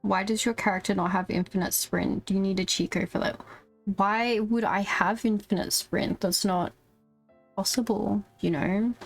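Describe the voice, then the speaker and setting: A woman's voice: medium pitch, fairly even intonation, normal speed, creaky. A woman giving casual live gameplay commentary as a streamer, with chatty, informal asides to her viewers. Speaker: video game streamer. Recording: game music behind. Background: video game music.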